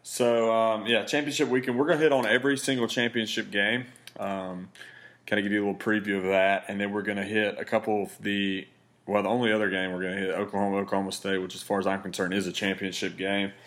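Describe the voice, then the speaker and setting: A man hosting a casual betting podcast, speaking in a laid-back, rambling way with a raspy voice. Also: fairly low pitch, flat delivery, fast talker, fairly bright tone. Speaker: podcast host.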